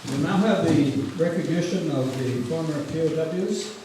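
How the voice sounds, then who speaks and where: A man acting as master of ceremonies, announcing formally but haltingly in a hall. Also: on the high side, a loud crowd. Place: large echoey indoor hall. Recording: very echoey hall, distant mic, loud noise.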